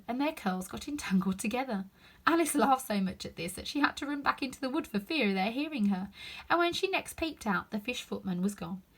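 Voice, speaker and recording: A woman reading a children's story aloud in an expressive narrating style. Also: mid-range voice, slightly rough. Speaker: storybook reader.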